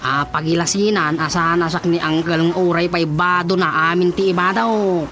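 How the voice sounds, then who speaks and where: A man's voice: a little high, fairly even intonation, moderate pace, sharp tone, a little hiss or hum. A man acting an eager, goofy young nephew in a radio comedy drama, comically deferential to his elders. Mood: sheepish, joking.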